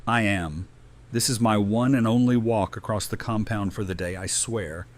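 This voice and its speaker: A man's voice: fairly low pitch, moderate pace, close to an everyday voice. A man voice-acting a good-humoured, practical father and survivor in an audio drama, easygoing and matter-of-fact.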